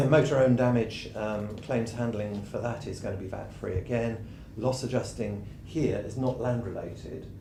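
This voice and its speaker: Hoarse man presenting on tax. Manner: measured, explanatory, with frequent ums. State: losing his voice, clearing his throat. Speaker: tax adviser presenting a session. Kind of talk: conference presentation. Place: conference room. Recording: echoey room with rumbling noise.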